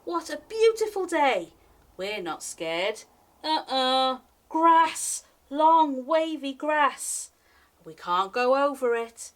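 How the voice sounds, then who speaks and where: Lady doing library storytime for little kids, slow and singsong, really animated reading. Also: high-pitched. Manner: expressive, singsong, addressing young children directly. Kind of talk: animated children's picture book read-aloud.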